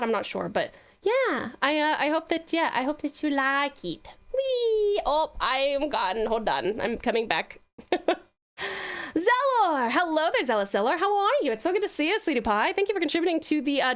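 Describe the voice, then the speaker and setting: A woman's voice: high-pitched, big pitch swings, moderate pace. A woman hosting a casual livestream, chatting sweetly and effusively with her viewers and using lots of endearments. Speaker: livestreaming virtual streamer host.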